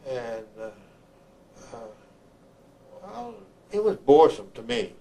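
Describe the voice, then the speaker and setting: An older man from Honduras, an army veteran, recounts his memories haltingly, repeating words as he searches for the next one. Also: medium pitch, big pitch swings, warm tone. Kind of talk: oral history recollection. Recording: clear background noise, room ambience.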